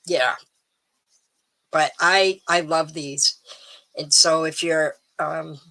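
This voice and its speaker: A middle-aged woman hosting a live stream, chatting casually and rambling with ums, a little hoarse. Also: on the low side, fairly bright tone, low-quality recording. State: slightly hoarse. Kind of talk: casual chat to viewers.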